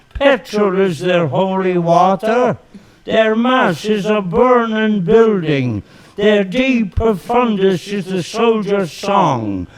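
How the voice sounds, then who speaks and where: A man's voice: sits high, low-quality recording. A man reading the part of a world-weary man lamenting a country at war. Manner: slow, declamatory, theatrical stage reading.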